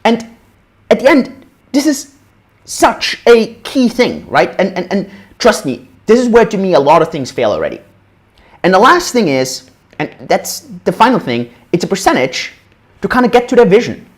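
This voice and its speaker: Animated man lecturing casually at his desk. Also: high-pitched, moderate pace. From Austria. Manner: animated, casual, frequent "right?" tag questions. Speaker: crypto educator. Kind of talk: livestream whiteboard lecture.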